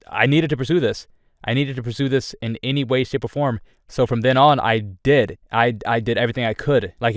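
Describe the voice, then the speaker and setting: A man's voice: medium pitch, clear, resonant tone, dry recording, studio quality. A young man giving a candid personal update on his channel, rambling with frequent restarts. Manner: earnest and candid. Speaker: YouTuber.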